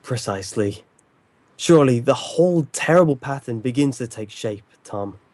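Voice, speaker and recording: An actor playing an incisive, stern detective in an old-time radio drama, speaking with formal, theatrical authority. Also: male voice, mid-range voice, animated, moderate pace, darkish tone, no room sound, natural voice. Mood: commanding, deliberate. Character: commanding, incisive male detective, calm and stern.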